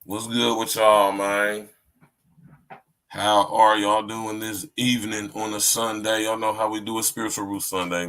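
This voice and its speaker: A man's voice: fairly low pitch, fairly bright tone. A man hosting his podcast, opening with loose, hype greetings full of slang. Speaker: podcast host. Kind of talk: casual podcast intro.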